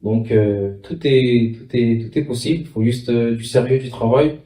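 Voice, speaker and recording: A young man from France, a footballer giving casual interview answers, haltingly and repeating his words. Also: medium pitch, mellow. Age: young adult. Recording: muffled, roomy, distant from mic.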